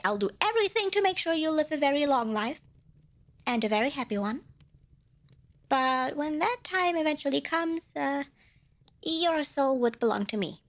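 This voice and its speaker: A woman's voice: high voice, a bit bright, full character voice. A woman playing a cute, eager little demon who craves cuddles, wheedling playfully in a fantasy ASMR roleplay. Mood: insistent, defensive. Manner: playful wheedling salesmanship with flustered self-corrections. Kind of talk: scripted ASMR fantasy roleplay addressed to the listener.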